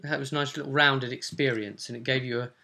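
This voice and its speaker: Male game master and host, creaky voice, mid pitch, informal storyteller recapping a tabletop session. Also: fairly even intonation, quick pace, sharp tone.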